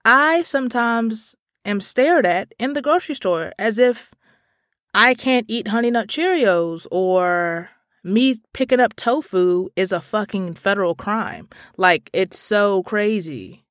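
Animated young woman podcaster, dry close sound. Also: medium pitch, takes it slowly, no room sound. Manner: animated, exasperated, swears freely, lots of "like" fillers. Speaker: podcast host. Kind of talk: casual podcast monologue.

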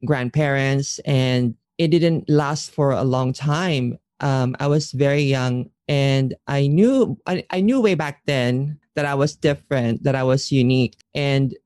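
A man's voice: mid-range voice, unhurried, hardly any pauses, darkish tone, clear, resonant tone, no room sound, clean studio sound. A male voice with a Filipino accent, an interview guest telling personal stories in a conversational way. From Philippines. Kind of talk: personal storytelling.

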